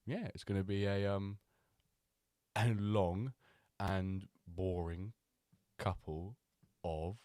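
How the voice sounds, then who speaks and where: A man hosting a live stream, chatting loosely with many pauses and fillers, still a little nasal from a cold. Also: deep voice, steady tone, darkish tone, no echo, clean studio sound. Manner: chatty, rambling, with many ums and restarts. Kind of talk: casual live-stream chat.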